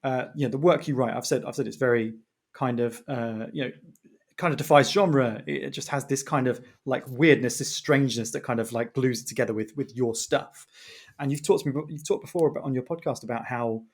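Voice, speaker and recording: Guy hosting a podcast, normal pitched voice, chatty and rambly, casual long questions. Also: brisk. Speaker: podcast host, writer. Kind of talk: casual interview conversation.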